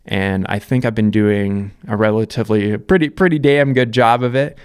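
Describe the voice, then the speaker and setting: A man's voice: on the low side, normal speed, a little mellow, clear, resonant tone, dry recording, clean studio sound. A man in his thirties from Canada, telling his life story to camera in a reflective, casual way, with fillers and restarts. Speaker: vlogger.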